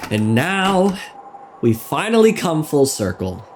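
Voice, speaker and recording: A man narrating a scripted game lore video essay in a wry, theatrical manner with dramatic pauses. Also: fairly high pitch, sing-song, a little hiss or hum. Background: music.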